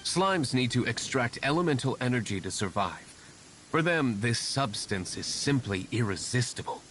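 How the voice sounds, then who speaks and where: An actor playing a composed, aristocratic winery owner in a game cutscene, speaking in a formal, measured way. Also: male voice, mid-range voice, slight background noise, lightly acted. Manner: formal, measured, calmly authoritative.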